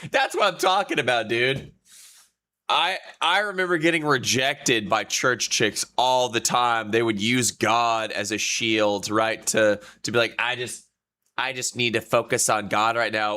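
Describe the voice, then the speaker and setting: A man's voice: lowish voice, sharp tone. A man hosting a casual livestream with a stuffy nose, blunt and laughing often. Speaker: streamer host.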